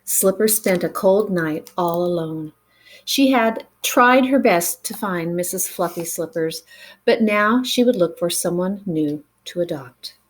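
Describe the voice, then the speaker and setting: A woman reading a picture book aloud to children in an expressive way, with dramatic pauses and chatty asides to the listeners. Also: on the low side. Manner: animated, dramatic pauses, engages young listeners with questions.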